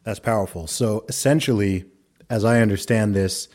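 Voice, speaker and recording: A podcast host with a very deep, low voice, speaking in a measured and reflective way. He grew up in Saudi Arabia and builds long, thoughtful arguments in conversation with his guest. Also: darkish tone. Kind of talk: conversational interview.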